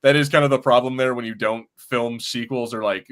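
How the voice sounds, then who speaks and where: A man's voice: medium pitch, fairly even intonation, brisk. A man co-hosting a podcast in casual conversation, often repeating words and feeling his way through sentences, with some easy swearing. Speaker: podcast host. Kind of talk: casual chat, TV discussion.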